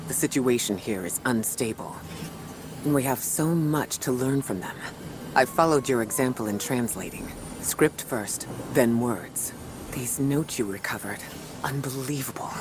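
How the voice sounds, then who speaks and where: Actor playing grave scholarly male commander, formal, articulate, mid pitch, sci-fi game, noisy background. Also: natural voice. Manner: formal, measured wording, articulate. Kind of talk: video game dialogue acting. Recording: loud ambient noise behind the voice.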